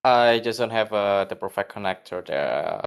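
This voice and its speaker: Low, smooth-voiced man answering haltingly. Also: lively intonation. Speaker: player being interviewed. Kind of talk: casual post-game interview answer.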